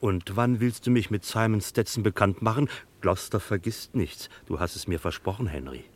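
Man playing an eager, naive low-voiced henchman. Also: moderate pace, lightly acted. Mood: eager, obliging. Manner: eager, deferential, gushing. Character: devoted, naive, excitable male henchman, starry-eyed and eager. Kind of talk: vintage German radio crime play acting.

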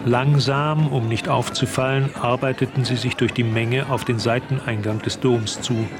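A man narrating a radio drama in a measured, literary storytelling manner. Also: medium pitch, fairly even intonation, noisy background, natural voice. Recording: loud music and street sounds behind.

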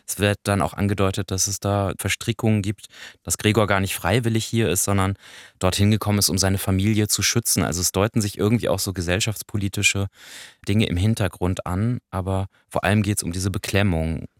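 A man's voice: deep voice, steady tone, a little fast, no echo, clean studio sound. A man co-hosting a podcast, explaining and reviewing in a conversational way with small asides. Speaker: podcast co-host. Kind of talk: conversational radio play review.

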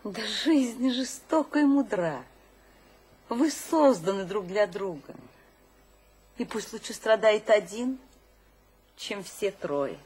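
An actress playing a composed, gently ironic woman confidante in a radio drama, measured and theatrical. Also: medium pitch, expressive, slightly rough. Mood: knowing.

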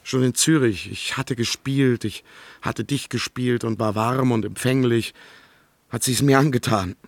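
A man's voice: mid-range voice, measured pace, no echo. An actor in a German radio play, playing an amiable, earnest and hesitant man who confides in careful phrases. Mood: confiding.

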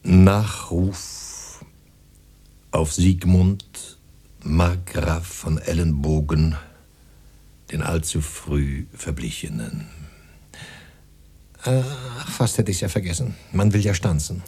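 An actor playing a harried, pompous professional poet in a German radio play, halting and muttering, easily exasperated. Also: male voice, deep voice, creaky, warm tone, slightly rough, heavily acted. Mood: laboured composing. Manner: theatrical, halting, muttering then flaring up.

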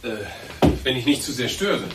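An actor playing a polite, awkward boss in a German radio play, formal and hesitant. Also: male voice, mid-range voice, big pitch swings, a little slow, very noisy. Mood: awkward, solemn. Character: polite, awkward male boss offering condolences.

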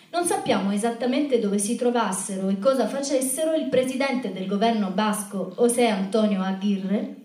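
A woman telling a scripted story in a stage show, in a measured, reflective manner. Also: a little high, a little mellow. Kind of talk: scripted spoken-word narration in a theatre show. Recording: echoey room, distant microphone.

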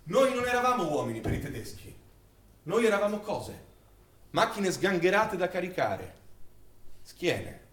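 Solemn anguished war witness in a play, declamatory, big echo, kinda far from the mic. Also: male voice, high voice, sing-song. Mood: anguished, intense. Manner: solemn, declamatory, with deliberate pauses. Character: grave, anguished male witness-narrator of a wartime massacre. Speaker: stage actor narrating a play. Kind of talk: dramatic theatrical recitation of wartime testimony. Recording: very echoey, distant stage recording.

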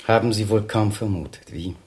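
An actor in a German radio drama playing a sinister gentleman doctor, suave, condescending and mockingly courteous. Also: lowish voice, steady tone, fairly quick, natural voice. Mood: mock-genial.

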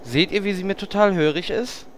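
A man's voice: high-pitched, brisk, slight background noise, lightly acted. A man playing a vain, smug show-off in a German parody audio play. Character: vain, self-adoring dancing show-off.

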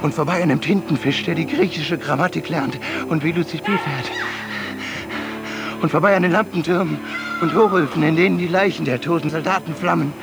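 An actor playing a whimsical, smug fantasist on the run, declaiming theatrically in a radio play. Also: male voice, fairly high pitch, a little fast, vocal fry. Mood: excited, fleeing. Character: whimsical, smug fugitive fantasist man, oddly carefree. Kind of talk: theatrical avant-garde radio play monologue. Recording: loud music behind the voice.

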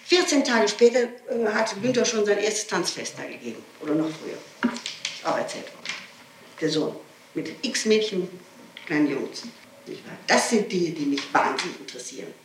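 A woman's voice: on the low side, lively intonation, moderate pace. A woman under questioning gives a spontaneous, agitated and insistent statement, often breaking off, restarting and repeating her questions. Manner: digressive, breaks off and restarts sentences. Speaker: person being questioned by police. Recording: old archive tape, roomy, distant, hissy.

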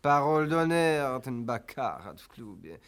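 A man's voice: mid-range voice, very animated, a little slow, a bit bright, clear, resonant tone. An actor playing a vain, jaded womanizing count in a radio play, with a languid, affected manner and French phrases dropped in. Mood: weary, boastful. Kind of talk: theatrical Polish radio play acting.

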